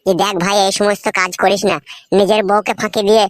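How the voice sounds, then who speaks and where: A man voicing an earnest, cautious village neighbour in a cartoon, with a pitched-up voice. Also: level tone, fast talker, no echo. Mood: confiding, serious.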